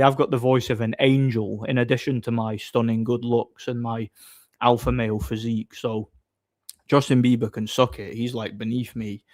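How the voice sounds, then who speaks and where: A man's voice: medium pitch, a little mellow. A man, chatting casually on a livestream, reading out viewer messages and answering with mocking boasts. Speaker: live streamer reading chat.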